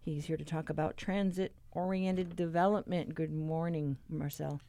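A woman's voice: low voice, fairly even intonation, normal speed, warm tone. A woman hosting a public radio program in a conversational interviewing style. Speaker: radio show host.

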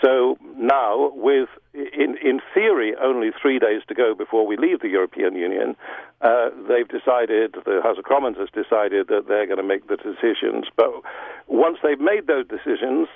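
A middle-aged Englishman, explaining politics in a radio interview with measured pauses and restarts. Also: mid-range voice, creaky, no room sound. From England. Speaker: guest broadcaster. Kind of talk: radio interview over the phone. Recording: tinny phone line.

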